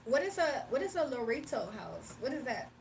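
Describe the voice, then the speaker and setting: A woman's voice: high-pitched, sing-song, normal speed, gravelly, darkish tone, slight background noise. A young woman streaming live, chatting casually with her viewers, laughing often and reading out chat messages.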